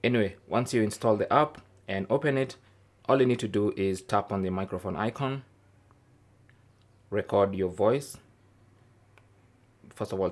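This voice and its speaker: A man hosting an informal tech tutorial, explaining things casually step by step. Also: on the low side. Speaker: host of a tech tips show.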